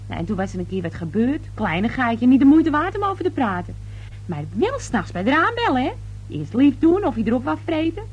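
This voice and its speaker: An actress playing a gossipy, suspicious working-class household help in a radio play, coarse, chatty and easily indignant. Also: female voice, high-pitched, sing-song, a little fast, breathy edge, lo-fi sound, heavily acted.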